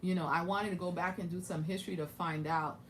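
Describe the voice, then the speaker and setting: Woman musing through scripture, lower voice. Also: fairly even intonation, a little fast, a bit bright, slight background noise. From Haiti. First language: French. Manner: thinking aloud, with many restarts and asides. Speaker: Bible teacher. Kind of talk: casual Bible study commentary.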